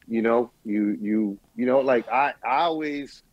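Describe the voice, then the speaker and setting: A man's voice: medium pitch, a little slow, no echo, dull audio. A man talking casually on a podcast in slangy language, often repeating and circling back on his phrases. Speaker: podcast speaker. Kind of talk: casual chat.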